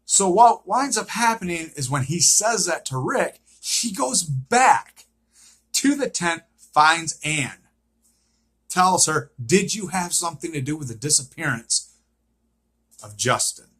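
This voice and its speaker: A man with a fairly high, tense voice retells a TV episode off the cuff. He goes slowly and has a rough, husky edge. He circles back over his points and often checks in with a short questioning okay. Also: expressive, a bit bright. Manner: repetitive, checks in with frequent "okay?". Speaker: vlogger recapping a TV series. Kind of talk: unscripted episode recap, casual retelling.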